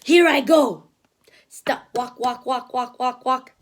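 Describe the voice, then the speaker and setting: A woman's voice: high voice, slow, pitched below the performer's own voice. A woman voicing a big, kind, protective male elephant who speaks earnestly and indignantly. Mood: determined.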